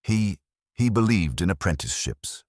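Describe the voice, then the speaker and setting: A man reads the part of a grieving widow in her thirties from a mystery story. The voice is extremely low and dry, nervous and urgent, as someone holding herself together. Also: very animated, moderate pace, no echo. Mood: tense, grieving.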